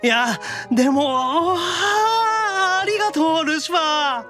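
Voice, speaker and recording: A man voicing an excitable young demon gamer in an anime audio drama, with an eager, expressive delivery. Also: extremely high pitch, takes it slowly, soft background music, pitched above the performer's own voice, full character voice. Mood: tearful gratitude.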